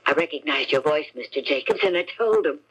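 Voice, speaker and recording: An actress playing an anxious, evasive wife in a 1970s radio mystery, with a husky voice. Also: female voice, deep voice, fairly quick, fairly bright tone, low-quality recording.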